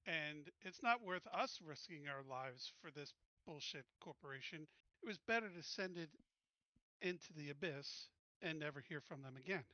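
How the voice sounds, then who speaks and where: A man's voice: a little high, expressive, normal speed, pushed and bright, no echo, muffled recording. A man playing a tabletop game, arguing his case casually and bluntly.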